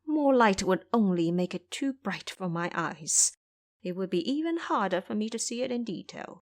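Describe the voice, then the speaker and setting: A woman's voice: medium pitch, expressive, normal speed, close to an everyday voice. A woman playing a sly, covetous elderly male abbot in an audio drama, obsequious and plaintive. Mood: fawning, sly.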